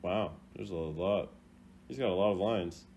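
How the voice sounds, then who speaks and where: A young man giving casual, playful reaction commentary as a gaming video host, jumping between loud exclamations and low mutters. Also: measured pace, slight background noise. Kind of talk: casual reaction commentary ranking game voice lines.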